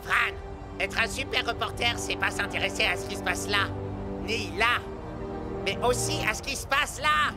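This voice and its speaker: A man playing an excitable, bumbling young sports superfan and would-be detective in a French cartoon dub. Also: high voice, normal speed. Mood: self-important. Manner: animated, exclaiming, theatrical. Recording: loud music bed under the voice.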